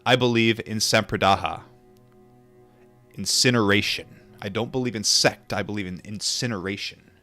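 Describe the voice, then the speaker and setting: A man reading a book aloud and adding informal asides, in a measured, deliberate manner. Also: on the low side, sharp tone, soft background music. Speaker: audiobook reader and commentator.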